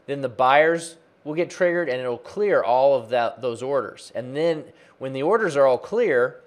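A man's voice: mid-range voice, expressive, a little slow. A man in his forties with an ordinary mid-range voice explains chart patterns in a plain, practical teaching manner, pointing things out as he goes. He speaks close to a desk microphone in a small home office. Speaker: trading educator. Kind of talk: screen-share chart tutorial. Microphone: close desk mic, webcam framing.

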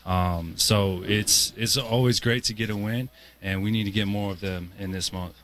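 A man in his twenties answering post-game interview questions as a basketball player, in a measured, earnest way. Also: fairly low pitch, steady tone, fairly bright tone. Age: 20s. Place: indoor basketball arena, courtside. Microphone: handheld interview microphone held to him. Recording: clear arena background noise.

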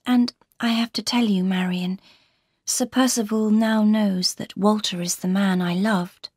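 A woman voicing a frightened, unhappy young wife in an audiobook, confiding in a breathy, intense half-whisper. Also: medium pitch, fairly even intonation, measured pace, darkish tone, no room sound, studio quality, pitched above the performer's own voice. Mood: anguished confiding.

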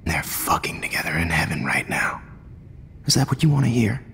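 An actor playing a cold, arrogant middle-aged American photography teacher turned killer in a video game, smug, measured and menacing, with a breathy near-whisper. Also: male voice, low voice, sing-song, fairly bright tone, heavily acted. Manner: smug, measured, menacing, prone to sudden outbursts. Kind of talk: dramatic video game acting. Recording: reverberant room with ambient noise.

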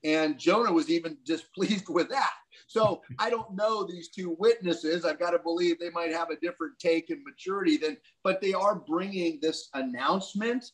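An older man talks from a room at home over a webcam. His voice sits fairly high, and he muses aloud, circling back and restarting as he thinks. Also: normal speed, low-quality recording. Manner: thoughtful, musing, many restarts. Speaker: co-host discussing Bible prophecy. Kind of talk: casual video call discussion. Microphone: video call webcam.

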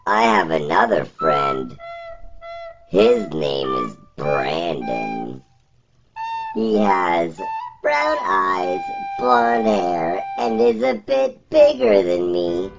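Gentle little alien storybook reader, gravelly croak leaping wildly into childlike squeaks. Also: male voice, wildly swooping pitch, heavily acted, poor audio. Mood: halting storytelling. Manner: slow, halting, childlike storytelling with swooping pitch. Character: E.T., small gentle wide-eyed alien reading a storybook. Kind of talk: storybook reading in a character voice. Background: instrumental music.

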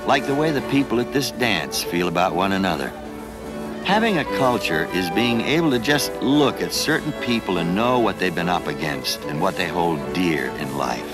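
A man narrates a television documentary over a music bed. His voice is very low, with lively rises and falls, and he tells the story in a measured, earnest way.

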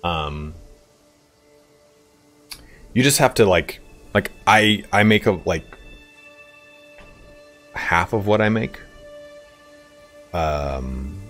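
Deep, animated man streaming a game. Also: faint music behind. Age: 30s. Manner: loud, excitable and profane, quick swings in pitch. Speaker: YouTube streamer. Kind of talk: live-stream chat while playing a strategy game.

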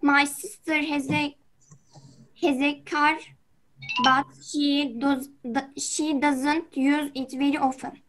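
A woman's voice: sits high, level tone, takes it slowly, fairly bright tone, poor audio. A teenage girl with a Turkish accent carefully reading a class exercise aloud over a video call. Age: teens. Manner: careful, self-correcting. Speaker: student.

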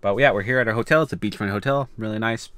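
A man's voice: on the low side, racing, a bit bright, clear, resonant tone, no echo. A young man from Canada talking casually to the camera in a road trip vlog, rambling with restarts. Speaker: vlogger.

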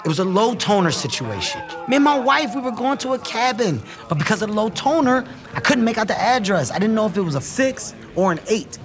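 An actor playing a bumbling man mistaken for a hitman, bluffing and fast-talking, swinging to loud threats. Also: high voice, very animated. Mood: pleading. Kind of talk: film comedy acting. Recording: film audio under music.

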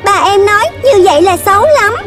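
A woman's voice: very high voice, brisk. A woman voicing an aggrieved little calf child in a children's cartoon, plaintive and childlike. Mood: reproachful. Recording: loud music behind the voice.

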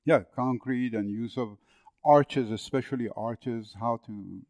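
A man's voice: medium pitch, a little slow, darkish tone, no echo. A man in his seventies from northern Iran with a Persian accent, telling stories as a guest on an interview podcast. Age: 70s. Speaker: guest, agricultural engineer.

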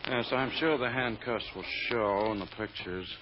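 An actor playing a vain, vindictive prosecutor in old radio drama style, pompous and self-satisfied. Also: male voice, fairly low pitch, normal speed, lightly acted. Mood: self-important. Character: vain, vindictive male prosecutor gloating over a capture. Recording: muffled, noisy old radio recording.

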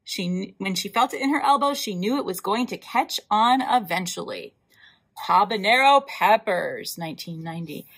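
A woman hosting a library storytime, reading a picture book aloud in an animated way, with emphatic drawn-out words and asides to young listeners. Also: medium pitch, slightly rough. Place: indoors, in front of a wall with floral decals.

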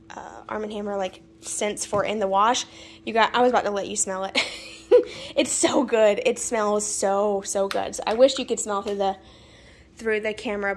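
A woman's voice: medium pitch, a little hiss or hum. A woman, chatting casually through a grocery haul in her home kitchen and laughing often. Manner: chatty, laughs often, talks to viewers as y'all. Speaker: vlogger.

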